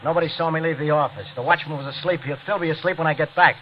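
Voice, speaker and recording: An American actor playing an aggrieved, quietly menacing husband in a 1940s radio drama, brooding and sly. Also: male voice, on the high side, very fast, lightly acted. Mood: coldly gloating. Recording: dull, noisy old radio recording.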